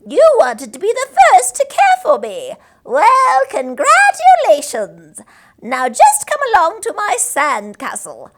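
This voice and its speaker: A woman's voice: very high-pitched, wildly swooping pitch, a little hiss or hum, voice pushed up, full character voice. A woman voicing a small, bossy, sly female storybook creature who cackles and draws out her words in a wheedling way. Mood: bossy, triumphant. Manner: cackling, drawn-out wheedling delivery.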